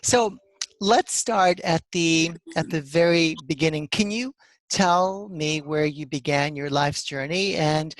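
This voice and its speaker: A man's voice: a little high, slow, dry recording. A man hosting an interview show, enthusiastic and encouraging as he introduces and questions his guest. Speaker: podcast host.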